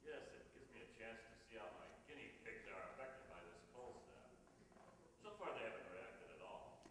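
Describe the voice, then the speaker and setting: A man acting in a radio sitcom as a shy, oblivious biology teacher, earnest, halting and pedantic. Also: on the low side, expressive, natural voice. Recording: echoey hall, noisy background.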